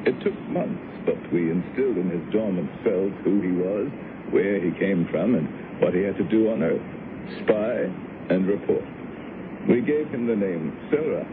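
A very low-pitched scientist in an old radio play. He explains himself with measured, calm authority. The broadcast is muffled, with a clear layer of noise. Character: authoritative male scientist, calmly justifying secret brain experiments. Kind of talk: 1970s radio drama acting.

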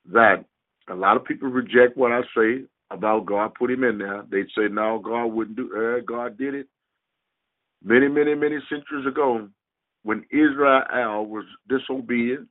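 A man preaching a sermon over a conference call, speaking emphatically and deliberately in short, halting phrases. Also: medium pitch. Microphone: telephone. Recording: tinny narrowband phone line.

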